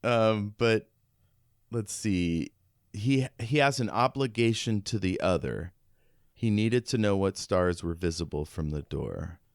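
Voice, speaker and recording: A man's voice: lowish voice, lively intonation, slow pace, dry recording, studio quality. A man co-hosting a podcast, casually discussing a book and reading bits of it out. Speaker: podcast co-host.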